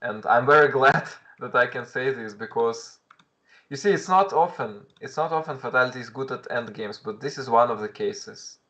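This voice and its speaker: A man's voice: mid-range voice, moderate pace, bright, forceful tone. Russian-accented man commenting live chess. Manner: thinking aloud with hesitant restarts, greeting chat viewers.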